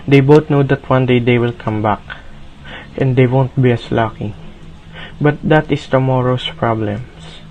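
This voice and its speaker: A man's voice: medium pitch, a little mellow, close to an everyday voice, poor audio. A man playing the solemn storyteller who narrates a fable in a scripted radio drama. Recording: faint background noise.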